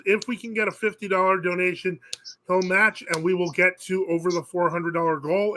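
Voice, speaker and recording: Bright-voiced man hosting a stream. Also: high-pitched, rough recording. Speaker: host. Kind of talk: livestream Q&A chat.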